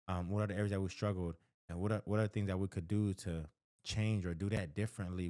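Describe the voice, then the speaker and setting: Deep-voiced American man giving counseling advice. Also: dark tone, dry recording, studio quality. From the American South. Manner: emphatic, repeats key phrases for stress; conversational, often checks in with "right?" and "okay?". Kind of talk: direct-to-camera advice talk.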